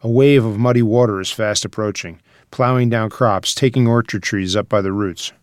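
A man's voice: fairly low pitch, moderate pace, no echo, clean studio sound. A man reading out screenplay scene headings and stage directions as a scripted narrator.

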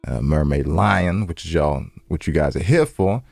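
A man's voice: a bass voice, lively intonation, normal speed, vocal fry, darkish tone, no echo, faint music behind. An American man co-hosting a podcast in casual, unscripted banter, with a laid-back, conversational way of repeating himself. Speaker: podcast co-host.

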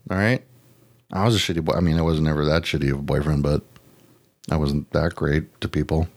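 A man's voice: extremely low pitch, fairly even intonation, a little fast. A man chatting casually as a podcast co-host, rambling and slangy with casual swearing. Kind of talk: casual chat.

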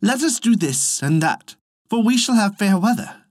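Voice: mid-range voice, animated, moderate pace, no echo, clean studio sound, pitched above the performer's own voice, close to an everyday voice. A storyteller voicing an earnest young boy, son of a god, with formal storybook phrasing. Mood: confident. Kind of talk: storybook reading.

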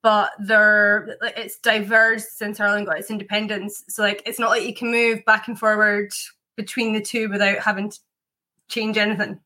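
Bright-voiced chatty woman from Scotland. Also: medium pitch, little pitch movement, normal speed. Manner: chatty, lots of "like" fillers, laughs often. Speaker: whiskey maker and distiller. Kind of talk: casual interview chat.

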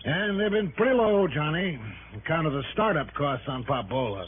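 A brash, chummy male business promoter as a man acts him out in a 1960s radio drama, with a high-pitched voice. He talks in slangy, boastful sales patter. The sound is narrow and noisy, like an old radio broadcast. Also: lively intonation, moderate pace, close to an everyday voice. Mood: earnest. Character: brash, chummy male businessman hawking his soft drink.

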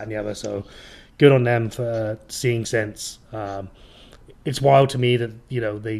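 A man co-hosting a casual football podcast, relaxed and chatty, laughing easily as he talks through the week's games. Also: lowish voice. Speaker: podcast co-host.

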